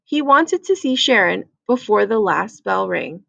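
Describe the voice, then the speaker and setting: A woman's voice: mid-range voice, lively intonation, a little slow, creaky, fairly bright tone, dry recording, muffled recording. A woman reading a children's book aloud as a storyteller.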